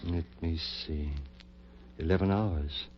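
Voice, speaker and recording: A man's voice: low-pitched, steady tone, slow pace, husky, heavily acted. A man playing an obsessed scientist, proud and desperate, with intense theatrical delivery in an old-time radio horror drama. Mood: confident, insistent. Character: obsessed man of science who revived his dead wife, proud, desperate. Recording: muffled old radio recording with hum.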